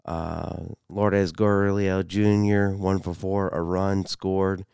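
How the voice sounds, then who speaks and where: A man hosting a solo sports podcast, a bit congested, talking haltingly with many fillers. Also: sits low, little pitch movement, gravelly, a little mellow, clean tone, no echo, clean studio sound. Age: 30s. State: congested, slight cough. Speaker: podcast host.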